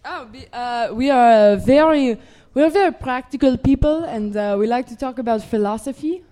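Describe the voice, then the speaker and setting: A woman playing a smug guest who talks in a put-on French accent, halting with many "uh"s. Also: fairly high pitch, warm tone, natural voice. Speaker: comedy guest. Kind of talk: live comedy sketch.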